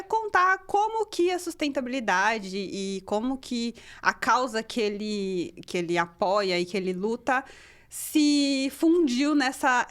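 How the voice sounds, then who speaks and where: Bubbly high-voiced female podcast host. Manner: warm, encouraging interviewer. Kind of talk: conversational interview.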